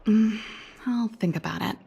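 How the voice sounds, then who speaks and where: A woman's voice: mid-range voice, a little slow, creaky, warm tone, breathy edge, exaggerated voice. A woman voicing an easygoing, teasing young female mechanic and musician in a video game, with a casual, playful delivery.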